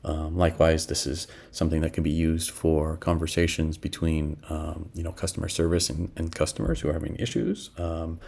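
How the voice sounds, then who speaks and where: A middle-aged man with a very low voice and a fair amount of fry. He talks casually through a screen-share demo, thinking aloud in a halting way. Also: steady tone, a little mellow. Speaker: product demo presenter. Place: small indoor room at home.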